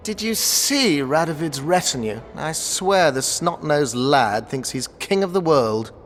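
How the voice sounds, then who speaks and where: An actor playing a scornful, gossipy courtier in a fantasy video game, sneering in a conversational way. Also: male voice, a little high, lively intonation, unhurried, soft background music.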